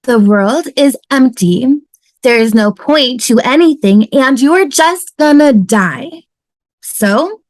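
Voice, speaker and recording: A woman's voice: sits high, no room sound. A woman playing a despairing, nihilistic male ethics professor in a sitcom table read. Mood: manic despair. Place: home, on a video call.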